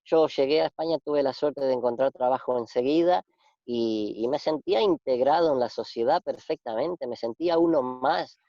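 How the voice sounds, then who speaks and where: Argentine man, mellow and halting. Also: medium pitch, dry recording. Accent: Argentine Spanish. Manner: reflective, halting with many restarts and fillers. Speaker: interview guest. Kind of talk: casual conversational interview. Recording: dull, dry lo-fi sound.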